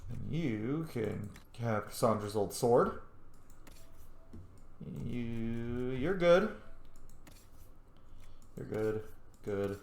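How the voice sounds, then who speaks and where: A man's voice: mid-range voice, lively intonation, very slow, vocal fry, long pauses, darkish tone. A man giving casual, chatty gameplay commentary, playful and unfiltered, reacting as he plays. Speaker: gamer playing and reacting to a story game. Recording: echoey room with faint noise.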